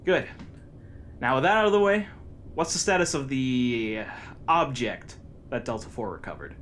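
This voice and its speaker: A man's voice: medium pitch, lively intonation, normal speed, fairly bright tone, lightly acted. Male actor as secretive commander, stern, clipped, authoritative, sci-fi drama, slight background noise. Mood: stern, businesslike. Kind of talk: dramatic sci-fi audio acting.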